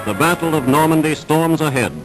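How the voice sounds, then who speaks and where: Emphatic 1940s newsreel man over loud music. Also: a little high, brisk, rough recording. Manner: formal, emphatic, declamatory. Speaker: newsreel narrator. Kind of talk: 1940s wartime newsreel scripted narration. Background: dramatic newsreel music.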